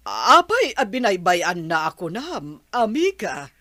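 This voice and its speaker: An actress playing a concerned, indignant grandmother-to-be in a radio drama, expressive and exclaiming. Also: mid-range voice, measured pace, no room sound, studio quality.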